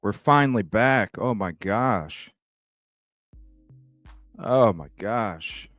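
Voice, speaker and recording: A man's voice: fairly low pitch, animated, a bit bright, no echo, clean studio sound, soft background music. A man hosting a casual true crime livestream from home, rambling with lots of uhs and some cursing. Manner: slow, rambling, with frequent uhs and mild cursing.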